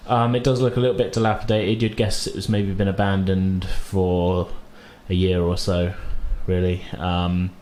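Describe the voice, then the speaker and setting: Male game master, lowish voice, casual halting improvised narration, slightly noisy recording. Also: level tone, darkish tone. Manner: halting, hedging with "sort of", rephrasing. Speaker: game master of a tabletop roleplaying game.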